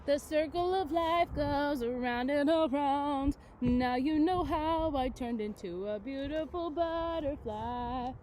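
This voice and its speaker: Mellow-voiced woman teacher reading to children. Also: high-pitched, a little hiss or hum. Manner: casual, conversational, engaging listeners with questions. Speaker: elementary school teacher. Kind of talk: children's read-aloud with chatty asides.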